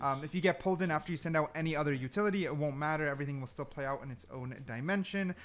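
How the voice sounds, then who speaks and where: Higher-voiced man doing casual game commentary. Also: very fast, fairly bright tone. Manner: informal, slangy, thinking aloud. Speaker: gaming content creator.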